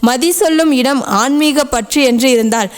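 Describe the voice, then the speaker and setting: A woman's voice: sits high, moderate pace, close to an everyday voice. A woman voicing a protective, ageless divine mother goddess who gives a tender, solemn devotional message to a devotee she calls her dear child. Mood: reassuring. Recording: long echoing reverb.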